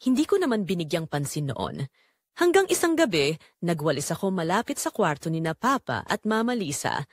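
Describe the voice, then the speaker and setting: A woman narrates a scripted first-person drama. Her pitch moves up and down in a lively way, and the sound is dry and close, with very little room in it. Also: no echo.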